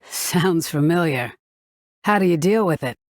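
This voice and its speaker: A woman's voice: on the low side, expressive, unhurried, a little mellow, dry recording, clean studio sound. A woman playing a weary, easygoing soldier in video game dialogue, with a laid-back manner. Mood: casual, weary.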